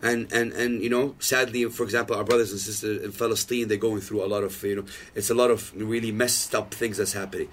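Animated man in his thirties telling stories. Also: on the low side, quick pace, few pauses, slight background noise. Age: 30s. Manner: animated storytelling, acts out quoted lines. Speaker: podcast guest. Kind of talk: casual chat.

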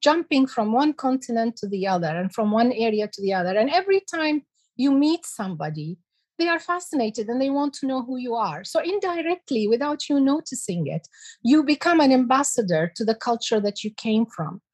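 A woman in her sixties from Iraq, giving slow, measured answers as an interview guest. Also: a little high, very animated, a little mellow, breathy edge. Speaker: guest, heritage expert.

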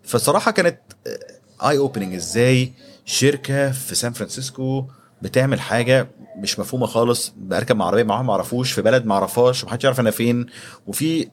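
A man's voice: medium pitch, fast. A man in his thirties with an Egyptian accent, telling his story conversationally as an interview guest and mixing English business terms into his speech. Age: 30s. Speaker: podcast guest, startup executive.

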